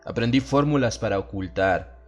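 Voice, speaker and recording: A man's voice: lowish voice, normal speed, lo-fi sound. A man narrating a horror audiobook in a solemn, dramatic style.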